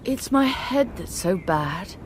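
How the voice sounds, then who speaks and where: An actress playing an unstable young nun in a radio drama, intense and feverish, swinging from pleading to paranoid whispers. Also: female voice, mid-range voice, animated, takes it slowly, slight background noise. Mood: weary.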